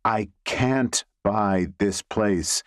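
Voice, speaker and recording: A man's voice: lowish voice, extremely slow, dry recording, clean studio sound. A man voicing an anxious, dismayed young woman with an earnest, emotive delivery in an audiobook.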